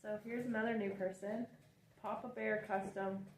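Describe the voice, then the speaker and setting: A woman's voice: mid-range voice, level tone, normal speed. A woman from Canada reading viewer comments aloud on a casual livestream, speaking briefly. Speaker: co-host reading chat comments. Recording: echoey room with faint noise.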